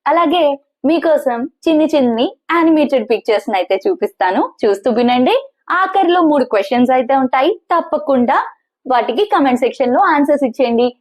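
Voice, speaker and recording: A woman hosting and narrating children's stories in an animated, expressive way, speaking directly to her listeners. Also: high-pitched, brisk. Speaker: host and storyteller of a children's story channel.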